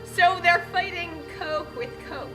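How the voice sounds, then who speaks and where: Female co-reader, high voice, unhurried comic dramatics, music underneath. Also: steady tone, fairly bright tone. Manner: exaggerated, theatrical when reading aloud. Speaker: co-host of a fan fiction reading. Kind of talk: dramatic comic reading with casual commentary.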